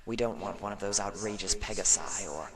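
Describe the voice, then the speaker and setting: Man as a hushed, haughty ancient unicorn. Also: fairly low pitch, brisk, pitched above the performer's own voice, close to an everyday voice. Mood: haughty, impatient. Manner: hushed, breathy, impatient. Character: imperious, haughty ancient unicorn dictating secrets.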